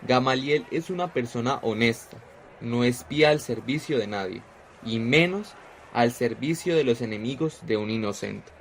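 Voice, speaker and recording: An actor playing a devout young disciple in a radio drama, speaking fervently and sincerely. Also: male voice, mid-range voice, normal speed, a little hiss or hum, natural voice, rough recording.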